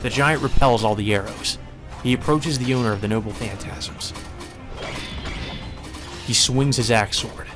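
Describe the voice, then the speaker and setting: A man's voice: mid-range voice, a little slow, long pauses. A man reading a visual novel aloud as solemn, dramatic scripted narration. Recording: music behind the voice.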